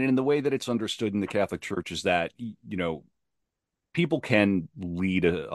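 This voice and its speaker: A man with a low voice, recorded close and dry. He talks as a podcast guest, earnest and discursive, circling back and repeating words to press his point. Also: no echo. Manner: earnest, discursive, emphatic repetition. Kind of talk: casual reflective conversation.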